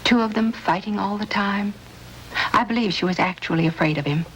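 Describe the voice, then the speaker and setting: A woman's voice: mid-range voice, a little fast, natural voice, low-quality recording. An actress playing a gossipy, proper middle-aged landlady who talks in a chatty, fussy, disapproving way in an old radio drama. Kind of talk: 1950s radio drama acting. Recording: old radio recording with faint hiss.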